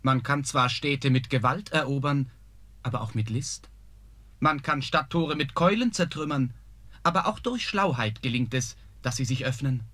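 An actor playing a young prince who calmly and earnestly reassures his father. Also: mid-range voice, normal speed. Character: young prince, calm and clever son.

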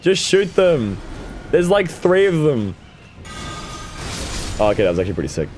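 A hoarse man whose voice is shot, casually chatting and teasing his co-host while they play a video game. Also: a little high, big pitch swings, normal speed, darkish tone, slight background noise. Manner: teasing, swears freely, laughs often. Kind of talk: casual gaming chat.

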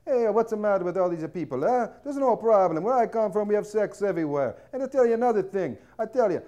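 A man putting on the voice of a brash, boastful male councillor in a loud, theatrical way. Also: high-pitched, lively intonation, fast talker, pitched above the performer's own voice.

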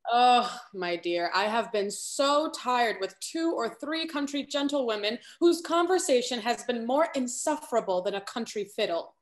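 A woman's voice: on the high side, lively intonation, moderate pace, few pauses, natural voice. A young woman playing a scheming, falsely sweet genteel confidante in a Restoration comedy, with an affected, gossipy manner. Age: young adult. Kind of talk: theatrical period stage acting over video call. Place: indoor room at home, on video call.

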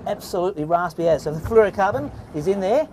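A man's voice: high voice, expressive, quick pace, a little mellow. A middle-aged Australian man hosting a fishing show from a small boat at sea, chatting and explaining tips to camera. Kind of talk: casual on-water fishing commentary. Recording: faint boat and sea noise.

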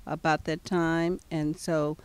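An older woman answering as a customer, soft-spoken and polite, pausing often with fillers, recorded outdoors on a handheld mic. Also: low voice, flat delivery, clean tone. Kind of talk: casual testimonial answers. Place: outdoors in front of a house. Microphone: handheld mic held by the interviewer.

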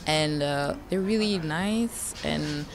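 Low-voiced young woman, hesitant, music behind. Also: slow, a crowd in the background. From Belgium. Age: young adult. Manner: hesitant, many fillers and "like". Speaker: pageant contestant. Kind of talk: casual interview answers. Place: indoor lounge on a sofa. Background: background music.

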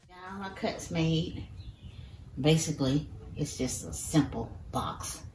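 Woman, middle-aged, deep voice, casual step-by-step DIY explanation, echoey workshop. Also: lively intonation, takes it slowly, a little mellow, faint music behind. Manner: chatty, explains each step, says "y'all". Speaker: DIY woodworking creator. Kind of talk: casual how-to narration while building. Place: home workshop, indoors.

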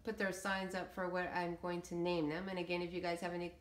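A woman's voice: lowish voice, flat delivery, fairly quick. A woman giving a casual, hesitant, meandering walkthrough commentary of a game build. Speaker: video creator showing game settlement builds. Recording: roomy, echoey room sound.

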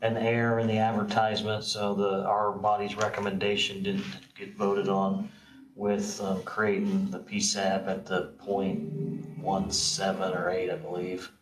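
A man's voice: low-pitched, completely flat delivery, unhurried. A man chairing a county council meeting, moving the agenda along briefly and procedurally. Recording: echoey room, distant mic, hiss.

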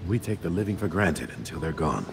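Deep-voiced, weary older colonel. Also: male voice, animated, brisk, creaky, over music, full character voice. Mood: weary, grieving. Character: weary, kindly older colonel, grieving friend. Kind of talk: naturalistic video game cutscene acting.

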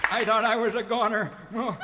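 Man playing a high-voiced old cowboy geezer. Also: steady tone, fairly quick, long reverb tail, over a noisy crowd, very noisy, natural voice. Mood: relieved. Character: crusty old cowboy sidekick, folksy and excitable. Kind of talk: parody of old-time radio serial acting.

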